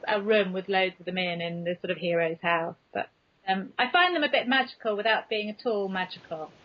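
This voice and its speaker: A woman's voice: mid-range voice, a little fast. An English woman chatting casually and frankly as an interview guest, self-deprecating in the way she talks. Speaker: guest author being interviewed. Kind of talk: casual chat. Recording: dull, lo-fi early podcast recording.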